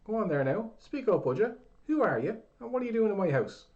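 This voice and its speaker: A man voicing a crass, half-deaf old blacksmith who shouts in a gruff, rough brogue. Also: lowish voice, very animated, normal speed, muffled recording. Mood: barking.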